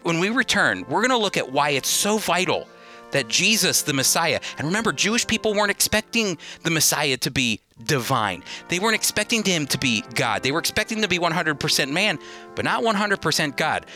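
A man hosting a radio Bible program, teaching in a sermon-like style with earnest, emphatic, deliberate phrasing. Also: fairly high pitch, sing-song, pushed and bright, faint music behind.

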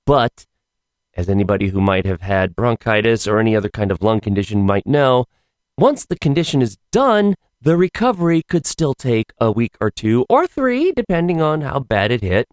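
Hoarse guy doing his podcast solo, rambly and wry, voice jumps all over, dry close sound. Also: mid-range voice, very animated, normal speed, dry recording. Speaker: podcast host. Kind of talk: casual solo podcast chat.

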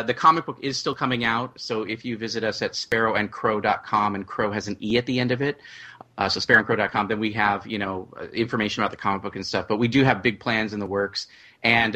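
Male panel guest, low bright voice, casual and halting, explaining his creative work. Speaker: audio drama writer and producer, panel guest.

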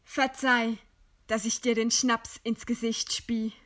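Weary woman awaiting execution: bitter irony, slow theatrical radio play delivery, somewhat high pitch. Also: flat delivery, fairly bright tone. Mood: weary, resigned. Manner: deliberate, dramatic delivery with dry sarcasm. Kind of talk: German radio play acting.

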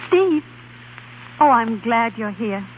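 An actress in a 1930s radio drama playing a hard-working young wife who is proud, impulsive and easily hurt. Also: female voice, a little high, expressive, takes it slowly, noisy background. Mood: pleading. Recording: tinny, band-limited 1930s radio recording.

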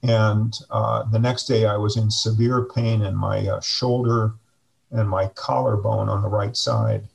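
A middle-aged man speaking slowly and reflectively as a guest on a video call, with frequent fillers. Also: on the low side, level tone, creaky. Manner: slow, measured, hesitant with many fillers. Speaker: interview guest, district superintendent. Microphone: video call webcam.

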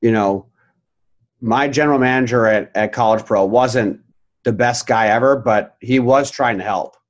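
A man's voice: mid-range voice, moderate pace, lo-fi sound. A middle-aged man as a podcast guest, talking candidly and reflectively, with some blunt language. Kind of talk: casual interview chat.

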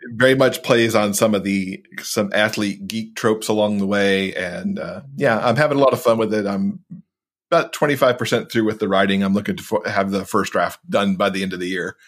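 Lower-pitched man in upbeat casual chat. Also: steady tone, a little fast. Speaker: podcaster and author, interview guest.

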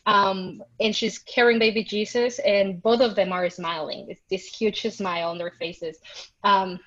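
A woman's voice: medium pitch, fairly even intonation, unhurried, fairly bright tone. A woman from Mexico with a Mexican accent, a podcast guest earnestly recounting a personal experience over a video call. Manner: earnest, thoughtful, recounts with emphasis. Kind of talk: reflective personal storytelling. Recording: muffled lo-fi video call audio.

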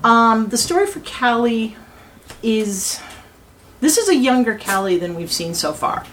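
Woman, slow pace, halting, casual round-table talk, faint background noise. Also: on the high side, lively intonation, fairly bright tone. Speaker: voice actor and writer. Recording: noisy group room recording.

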